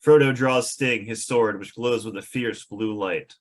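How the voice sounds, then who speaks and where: A man's voice: lowish voice, measured pace. A man reading stage directions aloud as narrator at a script table read.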